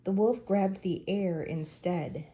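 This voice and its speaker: Older woman storyteller, very dark mellow tone, reading a children's tale aloud. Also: medium pitch, takes it slowly, slight background noise, rough recording.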